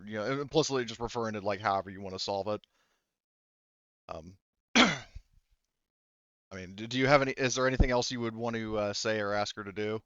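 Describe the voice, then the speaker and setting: A man narrating a tabletop game casually as game master, in a halting, rambling way. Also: fairly low pitch, a bit bright, clean tone, no room sound, muffled recording.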